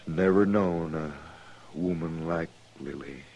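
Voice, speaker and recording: An actor playing a weakened old gunman friend who talks haltingly in a 1950s radio drama. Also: low voice, darkish tone, highs cut off, exaggerated voice. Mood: wistful. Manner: halting, faltering, trailing off.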